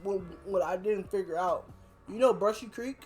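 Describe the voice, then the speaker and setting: A young man chatting casually as a podcast host, telling anecdotes in a slangy, animated way. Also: high-pitched, normal speed, music in the background. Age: young adult. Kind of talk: casual chat, storytelling.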